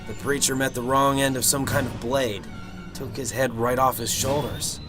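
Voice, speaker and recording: A man's voice: medium pitch, flat delivery, moderate pace, fairly bright tone, close to an everyday voice. Guy acting as sarcastic cynical detective, blunt and grumbly, radio play with music behind. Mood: tense, blunt. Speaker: actor. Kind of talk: amateur radio drama acting.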